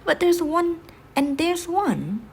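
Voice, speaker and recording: Excited townsfolk from a fairy tale as a woman narrator puts them on. The voice lifts high and loud to exclaim. Faint noise runs behind it. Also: slow, creaky, exaggerated voice. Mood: surprised. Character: excited crowd of townsfolk calling out.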